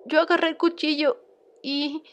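A woman voicing an unhinged, lovesick and murderous young girl pig, halting and intense, in a horror comic dub. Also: sits high, level tone, noisy background, lightly acted. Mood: shaken, halting. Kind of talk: dramatic horror comic fandub.

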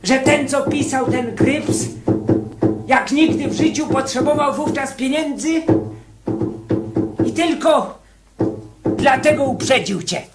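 An actor in a radio play voicing a timid, frightened condemned convict, hesitant and anxiously repeating words. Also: male voice, very high voice, takes it slowly, long pauses, loud music behind the voice, exaggerated voice. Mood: hushed dread.

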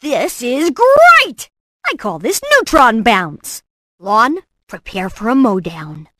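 A brainy, excitable boy inventor from a cartoon game. The voice is bright and forceful, leaping around in pitch, yet takes its time over each theatrical, cocky exclamation. The sound is close and dry. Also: slow pace, dry recording, full character voice. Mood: exuberant. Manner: theatrical, self-assured, punchy delivery. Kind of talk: animated game voice clips, short exclamations and catchphrases.

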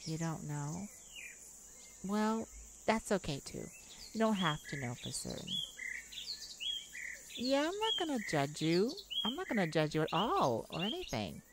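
Woman acting a lively, caring best friend, swooping pitch. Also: natural voice. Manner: playful, theatrical, drawn-out phrasing. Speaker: audio roleplay performer. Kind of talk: comforting friend ASMR roleplay. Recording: noisy, birds and insects behind. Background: nature sounds with birdsong and insects.

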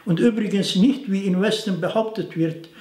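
Older man, halting. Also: high-pitched, a little mellow, echoey room. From Turkey, near the Syrian border. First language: Aramaic. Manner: halting, frequent fillers, non-native grammar. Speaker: interviewee. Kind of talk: explanatory talk to camera. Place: indoor study in front of bookshelves.

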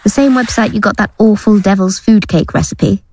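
A woman's voice: medium pitch, moderate pace, darkish tone, lightly acted. A woman playing a nervous, earnest girlfriend dabbling in ghost-banishing spells in an audio drama. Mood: uneasy. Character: anxious abused girlfriend turned ghost-banishing conjurer.

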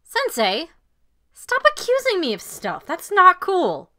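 A woman's voice: very high-pitched, huge pitch swings, takes it slowly, sharp tone, full character voice. A woman voicing a sulky, defensive teenage student in an anime audio drama. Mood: defensive, hesitant.

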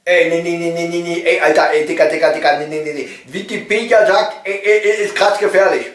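A man's voice: on the high side, steady tone, fairly quick, lightly acted. A man voicing a reluctant, dismissive young slacker in a comedy monologue, protesting in repetitive street slang. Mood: alarmed refusal. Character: reluctant slangy German youth, alarmed and dismissive. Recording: roomy echo, distant microphone.

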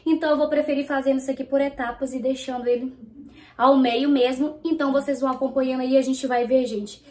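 A woman's voice: sits high, fairly even intonation, fast, warm tone. A woman in her thirties from Brazil, chatting casually to viewers while she styles her hair and reviews a product in a small bedroom. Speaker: beauty vlogger.

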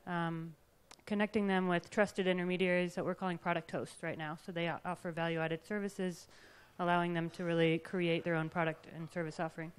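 A woman, speaking as a panelist on a handheld mic in a fluent, measured way. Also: on the low side, flat delivery, clean tone. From California. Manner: fluent, measured, some fillers.

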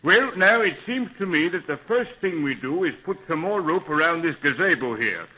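Husky, good-natured Irish pilot. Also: male voice, fairly high pitch, expressive, moderate pace. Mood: brisk, planning. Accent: Irish, stage. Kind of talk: 1930s radio serial acting. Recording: tinny band-limited 1930s radio recording.

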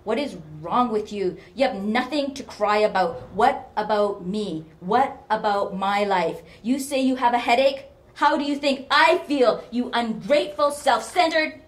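A woman voicing an elderly, self-pitying mother who scolds and guilt-trips in a sharp, loud voice, as part of a story reading. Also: on the high side, unhurried, hardly any pauses, voice pushed up, close to an everyday voice. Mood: aggrieved self-pity. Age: older. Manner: scolding, guilt-tripping, practically shouting. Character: domineering, self-pitying, shrill elderly mother berating her daughter.

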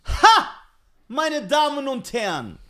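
A man's voice: extremely high pitch, huge pitch swings, takes it slowly, bright, forceful tone. A man co-hosting a podcast in casual comedic banter, with an emphatic, teasing manner. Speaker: podcast co-host.

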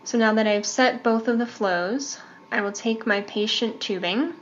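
A woman giving step-by-step instructions as a training instructor, in a measured way. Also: on the high side, dull audio, a little hiss or hum. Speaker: medical device training instructor.

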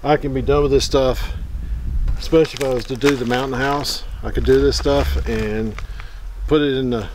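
A man in his forties chats into a nearby camera at a wooded campsite, loose and rambling, often trailing off with an um. His voice sits at an ordinary middle pitch for a man. Steady outdoor noise runs clearly underneath him. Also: normal speed. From Middle Tennessee. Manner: rambling, easygoing, many ums. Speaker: outdoors vlogger. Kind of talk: casual talk to camera. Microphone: camera mic at close range.

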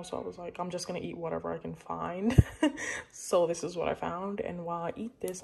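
A woman's voice: fairly low pitch, a little mellow. Chatty young woman vlogging to camera. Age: young adult. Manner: chatty, many "like" and "um" fillers. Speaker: college student vlogger. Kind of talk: casual vlog chat to camera.

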